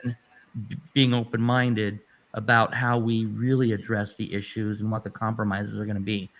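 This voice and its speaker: A man's voice: lowish voice, little pitch movement, moderate pace, a bit bright. A man speaking conversationally as a guest in a political discussion.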